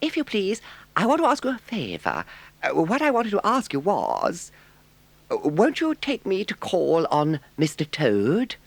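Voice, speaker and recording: Little mole, very high voice, timid, polite, eager, earnest storybook animal. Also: male voice, huge pitch swings, a little slow, creaky, dry recording, heavily acted. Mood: shy, eager. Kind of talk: audiobook character voice.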